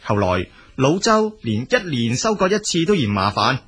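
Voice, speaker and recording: Husky Cantonese male storyteller. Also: medium pitch, very animated, normal speed, a bit bright. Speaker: storyteller narrator. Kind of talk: scripted folk tale narration.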